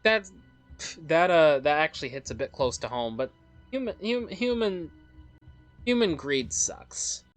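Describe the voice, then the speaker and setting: A let's play host reads a game's story aloud in a measured, dramatic way and adds offhand, rambling commentary. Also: mid-range voice, animated. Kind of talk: reading game story aloud with casual commentary. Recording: music under the voice. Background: game music.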